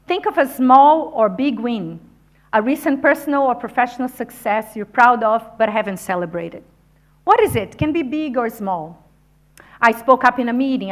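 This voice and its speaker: A woman who is not a native English speaker, giving an encouraging motivational talk to a conference audience. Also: a little high. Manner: energetic, encouraging, addresses audience directly. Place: conference venue.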